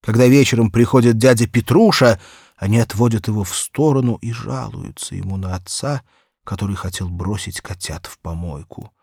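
A man's voice: lowish voice, moderate pace, a little mellow, breathy edge, no echo, studio quality. A man reading a children's story aloud as an audiobook narrator, in a dramatic, theatrical storytelling manner.

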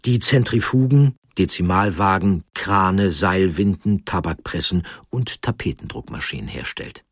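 Male narrator for history feature, low husky voice, measured scripted delivery with dramatic pauses. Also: expressive, no echo, clean studio sound.

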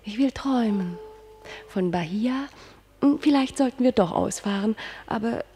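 An actress playing a dreamy, anxious female star in a German radio play, with breathy, drawn-out, theatrical phrasing. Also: on the high side, expressive, dark tone. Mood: playful, rapturous. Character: dreamy, anxious female star, kept darling, former Brooklyn dishwasher.

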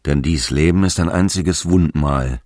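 Tiefe, ernst predigende Männerstimme, trocken aufgenommen. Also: male voice, rumbling low, unhurried, a little mellow, no room sound, studio quality, heavily acted. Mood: solemn, admonishing. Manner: grave, preaching, declamatory. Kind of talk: solemn archaic scripted radio drama monologue.

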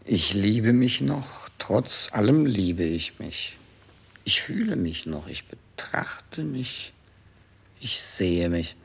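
A dying monarch in a 1960s radio drama. His low voice is gravelly and frayed. He is theatrical and self-absorbed, swinging between command and wistful murmuring. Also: lively intonation, slow, full character voice. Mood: stubborn, self-absorbed. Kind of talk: 1960s German radio play acting.

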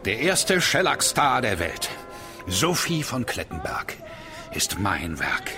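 An actor playing a boastful, lecherous, wealthy impresario with a smug manner in a radio drama. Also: male voice, lowish voice, big pitch swings, slow, music in the background, exaggerated voice. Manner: smug, self-satisfied. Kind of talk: theatrical radio drama acting.

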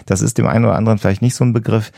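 A man's voice: fairly low pitch, fairly even intonation, rapid-fire, creaky, mellow, dry recording, studio quality. A man who explains things as a podcast host, often rephrasing himself as he goes.

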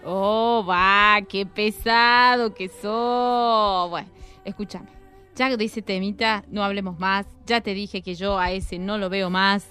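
A woman's voice: medium pitch, fairly even intonation, slow pace, bright, forceful tone, clean tone, faint music behind. A woman with an Argentine accent playing a bored, dismissive middle-aged wife who brushes off her husband's complaints with sighs, in a radio comedy sketch. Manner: sighing, dismissive, drawling.

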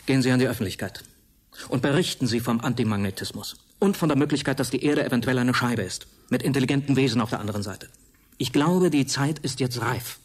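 Man doing a grave older professor in a radio play, husky, medium pitch, lectures insistently. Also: fast, lightly acted. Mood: urgent. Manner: emphatic, lecturing. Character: grave, alarmed male professor warning of war. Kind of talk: German radio drama acting.

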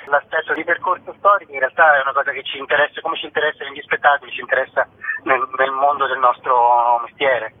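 A man's voice: medium pitch, steady tone, fast talker. Male interview guest, theatre artist, discursive delivery, heard over a thin telephone line with light noise. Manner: discursive, reformulates as he goes. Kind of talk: spontaneous interview talk. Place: phone call to a radio show. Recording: noisy, tinny phone line.

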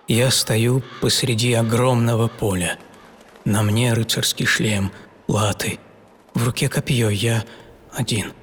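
An actor playing a young idealistic lawyer in a theatrical, intense radio monologue with deliberate pauses. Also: male voice, medium pitch, a little hiss or hum, lightly acted. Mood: tense, hushed. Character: young idealistic American lawyer, a fiery crusader recounting his fight. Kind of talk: dramatic first-person radio monologue in Russian. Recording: studio voice over prominent music. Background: music.

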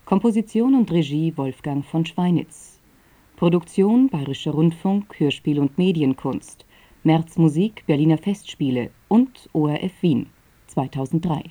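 A woman announcer speaks in a very low, extremely dark and velvety voice. She reads scripted credits soberly and formally, item by item. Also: lively intonation, measured pace. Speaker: radio announcer.

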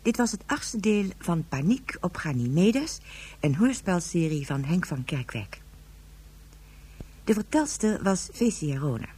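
A woman's voice: lowish voice, big pitch swings, a little slow, mellow, breathy edge. A woman announcer formally reading scripted credits for a radio drama.

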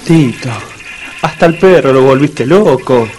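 An actor playing a blunt friend with a Buenos Aires accent in a comedic radio play, curtly reproving. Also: male voice, medium pitch, a little slow, darkish tone, slight background noise, lightly acted. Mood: scolding. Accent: Argentine, Buenos Aires. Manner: curt, reproving. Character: blunt, scolding Argentine male friend.

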